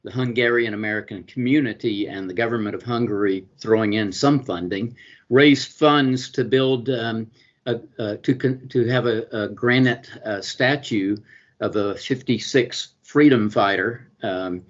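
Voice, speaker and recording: A middle-aged man with a Southern Georgia accent gives interview answers in a low voice. He hesitates often, restarting phrases and filling gaps with ums and uhs. The recording sounds dull and lo-fi. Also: measured pace. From US state of Georgia. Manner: hesitant, many fillers and restarts. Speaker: consul and business attorney, guest.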